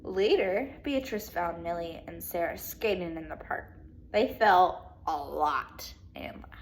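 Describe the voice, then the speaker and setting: A woman teacher reading a storybook aloud to children in an animated way, pausing to put questions to her young listeners. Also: lowish voice, vocal fry, slight background noise, rough recording. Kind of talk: expressive children's read-aloud, speaking to young pupils.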